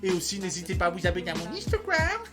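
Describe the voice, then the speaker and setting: A man's voice: high-pitched, wildly swooping pitch, fast, over music. A man in his thirties giving casual reaction commentary, talking in slang with lots of sighs, fillers and restarts. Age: 30s. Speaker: reaction channel host.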